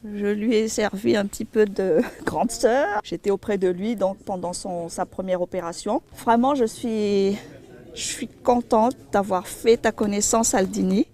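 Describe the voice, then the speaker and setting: Woman, from Madagascar, mid-pitched voice, heartfelt, informal testimonial, repeats words for emphasis. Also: a little slow, dark tone. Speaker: charity association member.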